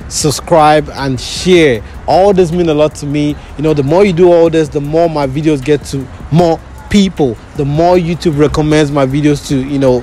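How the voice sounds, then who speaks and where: A man's voice: on the high side, darkish tone. A young Nigerian man running a casual street interview with a handheld phone, friendly and teasing, with an easy laugh. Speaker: street interviewer, video host. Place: large clothing store indoors, then outdoors by a wall. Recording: music playing behind.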